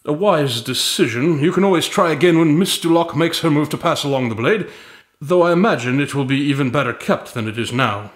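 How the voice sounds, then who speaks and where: An actor playing a haughty, witty detective in an audio drama, speaking formally with precise, pompous diction. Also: male voice, on the high side, animated, brisk, echoey room, natural voice. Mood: smug, assured. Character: haughty, witty male detective, grandson of a famous sleuth.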